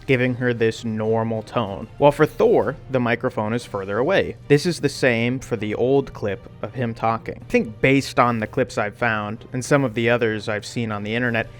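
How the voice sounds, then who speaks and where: A man narrates a scripted commentary video in a casual, opinionated manner. His voice sits at a medium pitch, with faint music playing underneath. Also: normal speed, few pauses.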